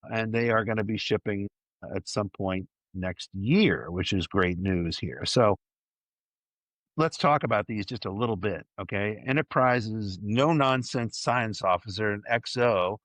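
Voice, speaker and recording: A man's voice: on the low side, a little slow, a bit bright, dry recording. A man presenting a fan news segment as a podcast host, partly scripted, with playful enthusiasm and wry asides.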